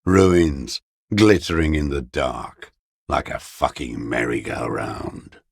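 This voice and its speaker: A scornful old communist deserter in a video game. His voice is extremely low and gravelly, recorded close and dry. He speaks with bitter, unrepentant contempt, sneering at whoever he addresses. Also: takes it slowly, dry recording, heavily acted. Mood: bitter, dismissive. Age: older. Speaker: voice actor. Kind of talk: dramatic video game character dialogue.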